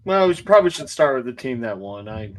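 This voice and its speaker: A man appearing as a guest sports writer on a casual podcast over a video call, measured and hedging with "um" and "you know". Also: mid-range voice, very animated.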